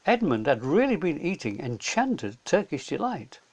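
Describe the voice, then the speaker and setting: Animated man reading a children's story. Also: fairly high pitch, normal speed. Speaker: storyteller, reader. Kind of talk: scripted narration of a children's story.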